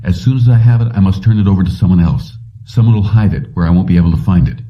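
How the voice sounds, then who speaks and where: A man's voice: deep voice, fast talker, far from the mic, lo-fi sound, lightly acted. A man reading a determined, calculating fugitive in an audiobook, speaking insistently and explaining himself. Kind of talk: audiobook character dialogue.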